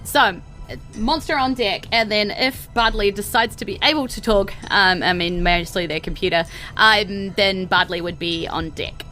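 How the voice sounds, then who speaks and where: A woman with a bright, forward voice works as game master, narrating a tabletop adventure off the cuff. She is chatty, casual and giggly, with faint music underneath. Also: medium pitch, moderate pace.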